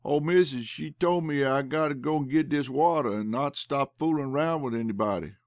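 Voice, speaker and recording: A man's voice: mid-range voice, moderate pace, dry recording, close to an everyday voice. Casting: fearful boy, voiced by a man, hesitant, dry close sound. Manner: hesitant, pleading. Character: timid young male servant boy.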